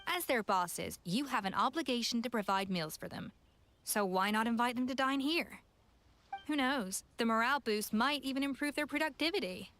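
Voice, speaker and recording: A woman's voice: a little high, lively intonation, moderate pace, fairly bright tone, a little hiss or hum. A woman playing a confident, exacting young chef in a video game, speaking in a composed, precise, refined manner.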